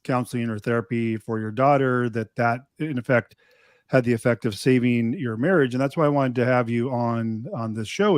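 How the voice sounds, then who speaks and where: A man's voice: medium pitch, dry recording. Dry-sounding male podcast host. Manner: rambling questions with fillers. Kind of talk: casual interview talk.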